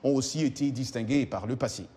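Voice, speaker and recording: A man's voice: medium pitch, fast. Chatty man in an echoey studio. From Congo. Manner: lively, chatty, many fillers. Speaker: culture correspondent. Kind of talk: news culture segment.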